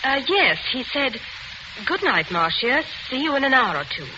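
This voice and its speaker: A woman's voice: sits high, big pitch swings, normal speed. An actress playing an earnest, bewildered young woman witness, emotional and questioning, in 1940s radio style. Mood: recalling. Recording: noisy, muffled 1940s radio recording.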